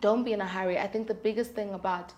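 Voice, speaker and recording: A woman's voice: medium pitch, steady tone, racing, a little mellow. A woman speaking as an interview guest, giving candid, articulate and emphatic advice in a conversational way. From South Africa. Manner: emphatic, articulate, candid, talks in rhetorical questions and examples. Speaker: guest, independent singer-songwriter.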